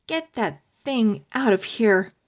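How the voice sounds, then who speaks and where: A woman voicing a spunky young girl who gets easily spooked, exclaiming in a shrill voice. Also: lively intonation, slow. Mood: spooked, indignant.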